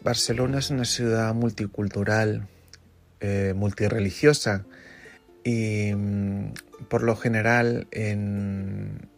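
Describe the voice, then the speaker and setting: Low-voiced Chilean man in his thirties. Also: steady tone, slow, gravelly, faint music behind. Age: 30s. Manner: plain, conversational, with restarts. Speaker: church volunteer. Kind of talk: interview.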